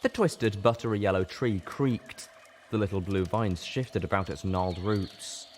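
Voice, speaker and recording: A man's voice: lowish voice, measured pace, a little mellow. A man reading a story aloud as a dramatic, intense audiobook narration. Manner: intense, theatrical. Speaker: reader of a fan fiction story. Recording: clearly noisy background.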